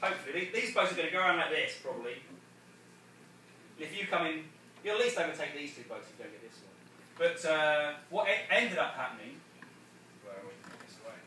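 Male sailing coach, casual, halting, keen tone; distant mic in a reverberant room. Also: fairly high pitch, animated, bright, forceful tone. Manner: casual, explains with hesitations and restarts, laughs often. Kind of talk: debrief talk to a group. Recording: echoey room, from afar, faint noise.

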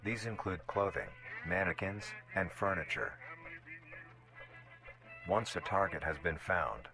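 A man performing a calm, clinical narrator of a horror creature file, formal and measured, in scripted documentary style. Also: low voice, music in the background. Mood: detached, ominous.

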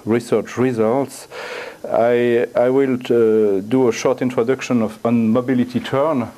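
Middle-aged guy, french accent, lecturing slow and halting, keeps restarting words, plain mid voice. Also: mid-range voice, little pitch movement, dark tone. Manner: halting, repeats words while searching for phrasing. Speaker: guest lecturer. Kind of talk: academic lecture. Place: university lecture room, indoors.

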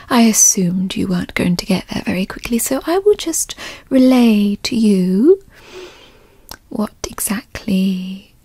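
A woman voicing a doting, possessive yandere girlfriend in a breathy whispered ASMR roleplay, coaxing and coyly controlling with formal wording. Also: fairly high pitch, mellow, no echo, full character voice. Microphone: close microphone, dry sound.

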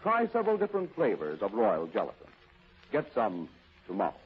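A man's voice: on the low side, huge pitch swings, a little fast, highs cut off. A man announcing and advertising in 1930s radio style on an old broadcast recording.

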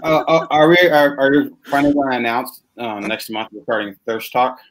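A man's voice: mid-range voice, flat delivery, brisk, fairly bright tone, low-quality recording. A man in casual banter on a group video call.

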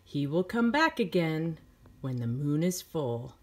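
A woman's voice: deep voice, big pitch swings, measured pace. A middle-aged woman reading a children's picture book aloud expressively, with simple phrasing for young listeners.

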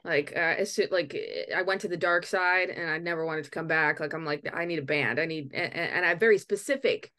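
A woman's voice: lowish voice, fairly quick, few pauses, sharp tone. A woman talking about her band in a casual interview over a video call from her home, earnest with many fillers. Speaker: band singer, guest. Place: home room.

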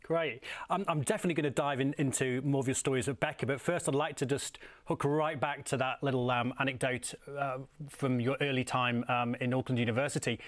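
A man's voice: mid-range voice, steady tone, brisk, hardly any pauses. A man hosting a business interview session, welcoming the guest and posing questions, often repeating words as he starts a question. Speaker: host, interviewer. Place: dark studio.

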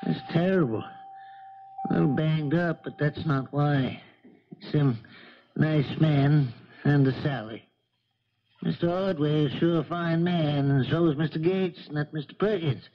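A folksy old sea captain, higher-pitched. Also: male voice, unhurried. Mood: shaken, friendly. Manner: folksy, ungrammatical working-man speech. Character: old charter boat captain, folksy, shaken and indignant. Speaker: radio drama actor. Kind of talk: 1970s radio drama acting. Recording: muffled vintage radio broadcast recording.

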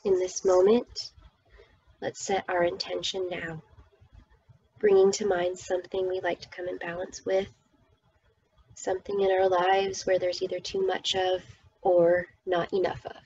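A woman leading a guided meditation as its teacher, speaking soothingly and often dropping toward a breathy whisper. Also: fairly low pitch, level tone, a little slow, mellow, highs cut off, slight background noise.

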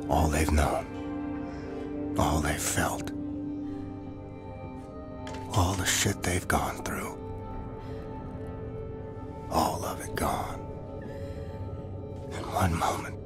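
An actor playing a brooding, grief-worn soldier in a breathy, mournful whispered game monologue. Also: male voice, rumbling low, fairly even intonation, heavily acted. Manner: halting, mournful. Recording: loud music bed.

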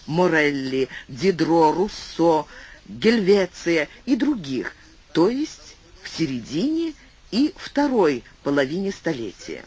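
A man's voice, likely synthetic, reading an essay aloud for an audiobook in an even, bookish way, speaking quotation marks aloud word for word. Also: a little high, slow pace, slightly rough. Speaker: audiobook narrator.

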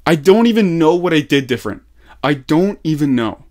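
A man's voice: on the high side, animated, normal speed, a little mellow. A young man coaching casually on a call, slangy and encouraging, with emphatic repetition, at a desk mic in a small room at home. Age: young adult. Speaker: gaming coach. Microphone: desktop condenser mic on desk.

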